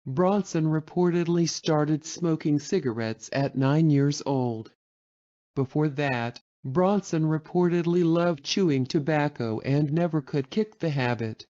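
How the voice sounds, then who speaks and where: A man reading a scripted documentary narration in an even, measured way. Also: a little high, dull audio.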